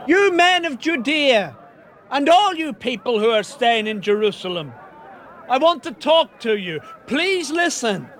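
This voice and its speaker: Peter the apostle, as in a radio play, is earnest and plain-spoken. His voice sits unusually high and moves at an unhurried pace. He sounds sure of himself, urging and steady. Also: slight background noise, full character voice. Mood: earnest preaching. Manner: earnest, plain-spoken, urgent when preaching. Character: Peter, bold fisherman apostle, middle-aged man. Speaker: preacher to a crowd. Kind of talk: radio drama acting.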